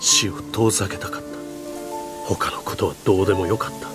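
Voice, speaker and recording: An actor playing a weary, guilt-ridden lord in a video game, speaking gravely and slowly, often sinking toward a whisper. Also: male voice, low-pitched, natural voice. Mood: remorseful. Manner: slow, grave, half-whispered confession. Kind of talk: Japanese video game voice acting.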